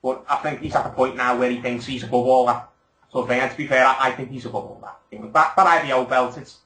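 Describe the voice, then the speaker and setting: Quick guy chatting on a podcast, bright voice, echoey room. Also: medium pitch, fairly even intonation. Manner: opinionated, rambling, asks for agreement. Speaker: podcast host. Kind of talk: casual chat.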